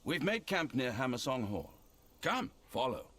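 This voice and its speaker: A man's voice: mid-range voice, big pitch swings, moderate pace. An actor playing a wry, world-weary nobleman commander in a fantasy game cutscene, with an urbane, sardonic and theatrical manner. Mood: courteous, teasing. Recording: voice over loud background music.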